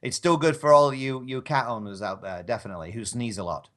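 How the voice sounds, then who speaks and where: A man's voice: mid-range voice, expressive, fast talker, a bit bright. A man chatting casually as a podcast guest, polite and hesitant, often restarting his phrases. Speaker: podcast guest, audio drama performer. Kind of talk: casual chat.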